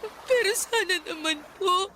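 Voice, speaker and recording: A woman's voice: very high voice, a little slow, sharp tone, slight background noise, full character voice. A woman playing a devout, grieving mother in a radio drama, with a pleading manner. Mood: anguished pleading. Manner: pleading, tearful.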